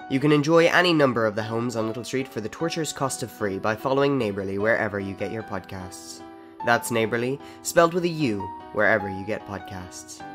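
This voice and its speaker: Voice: mid-range voice, lively intonation, fairly quick, music in the background. An announcer of ambiguous-sounding gender reading a scripted, tongue-in-cheek podcast trailer invitingly.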